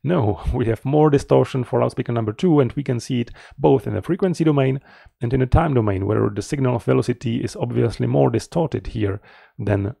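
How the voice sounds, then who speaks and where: A middle-aged man giving an explanatory technical presentation as a researcher, in a measured, slightly halting manner, close to the mic. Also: medium pitch, vocal fry, warm tone, breathy edge, no echo. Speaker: researcher presenting a conference paper.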